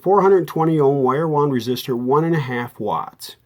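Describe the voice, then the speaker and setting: A man's voice: medium pitch, expressive, normal speed. A man giving a casual, unscripted repair walkthrough in a folksy way, thinking aloud and correcting himself as he goes. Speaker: radio repair hobbyist and video host.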